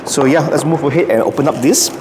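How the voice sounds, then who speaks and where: A man's voice: a little high, fast. Man presenting in an echoey room. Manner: explanatory, demonstrating step by step. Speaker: YouTube host. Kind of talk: casual unboxing and setup guide. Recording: echoey room with long reverb, music behind. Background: clear background music.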